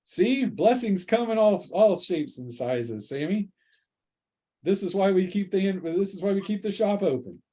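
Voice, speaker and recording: A man playing a folksy village blacksmith, higher-pitched. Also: expressive, normal speed, warm tone, husky. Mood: proud, instructive. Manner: folksy, avuncular, old-fashioned phrasing. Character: friendly powerful bearded human village blacksmith, folksy.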